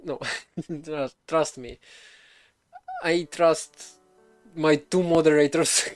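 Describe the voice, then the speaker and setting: Rambling man, fairly high voice. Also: fairly even intonation, extremely slow, faint music behind. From Belarus. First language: Russian. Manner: rambling, many fillers and restarts, laughs often. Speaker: streamer. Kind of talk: casual live coding stream chat.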